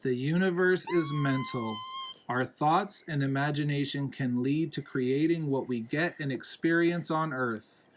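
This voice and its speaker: Guy reading a kids book out loud, really slow, pauses between phrases. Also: fairly high pitch, rough recording. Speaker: reader, parent reading to a young child. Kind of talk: read-aloud children's picture book narration.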